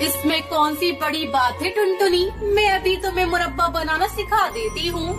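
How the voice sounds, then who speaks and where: Woman as a very high-voiced friendly bird. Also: normal speed, over music. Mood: helpful. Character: friendly female bird neighbour or market customer. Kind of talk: Hindi cartoon dialogue.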